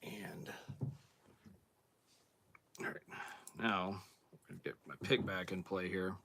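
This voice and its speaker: Deep-voiced man host, hoarse, laid-back workshop demo. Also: slow, a little hiss or hum. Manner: relaxed, plain-spoken, thinking aloud while working. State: hoarse, clearing throat. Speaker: outdoors channel host. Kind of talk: casual hands-on demonstration.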